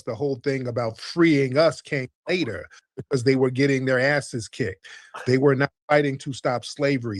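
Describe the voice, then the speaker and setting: A man hosts a heated live debate, lecturing and cutting in to make his point. His voice sits at an ordinary middle pitch. It is recorded close and dry, with no room sound. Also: no room sound. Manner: lecturing, interrupting, insistent. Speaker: stream host.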